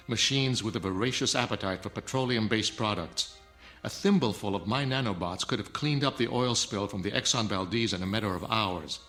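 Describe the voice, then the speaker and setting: Man acting as arrogant male scientist, forceful bright voice, curt lecturing manner, dramatic film dialogue, music underneath. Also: lowish voice, natural voice. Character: stern arrogant male scientist, defensive, guarding secret nanotech. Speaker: actor.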